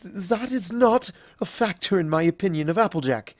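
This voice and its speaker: A man's voice: sits high, lively intonation, normal speed, no echo, voice pushed up. A man voicing a proud, dry-witted younger pony princess in a storybook reading, formal and guarded. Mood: flustered.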